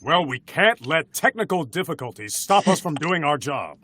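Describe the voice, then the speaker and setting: An actor playing a steady, principled male superhero in an animated script reading. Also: fairly high pitch, moderate pace, a little hiss or hum. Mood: resolute.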